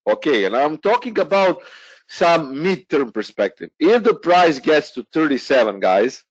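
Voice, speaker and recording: A man's voice: on the high side, dry recording. Hesitant male trader walking through charts, lo-fi dry webinar sound. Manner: hesitant, many "uh" fillers, walks through a chart. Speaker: day trader. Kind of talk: explaining trading charts. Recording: dull lo-fi webinar audio, dry.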